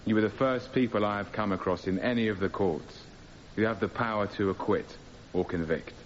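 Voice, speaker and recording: Man acting low-voiced, earnest defendant. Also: fairly quick, close to an everyday voice. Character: earnest male anarchist defendant appealing to the jury. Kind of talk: radio drama courtroom speech. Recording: muffled, hissy radio drama recording.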